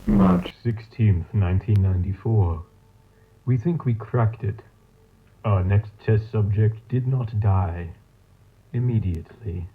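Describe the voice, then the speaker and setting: A tinny, narrow-band tape log carries the low voice of a male mad scientist, played by an actor. He dictates in a formal, measured, coldly clinical manner, as in an analog horror audio drama. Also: a little hiss or hum.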